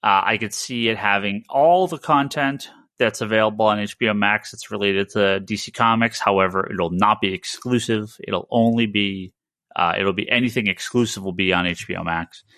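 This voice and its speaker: A man's voice: on the low side, moderate pace, bright, forceful tone, no echo, clean studio sound. A man hosting a casual, informal discussion of comics news. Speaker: podcast host.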